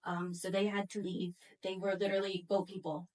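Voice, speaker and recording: A woman telling a personal story on a panel in a measured, halting way. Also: medium pitch, little pitch movement, a little mellow, recorded from a distance, poor audio. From upstate New York, United States. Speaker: panel guest, audit manager.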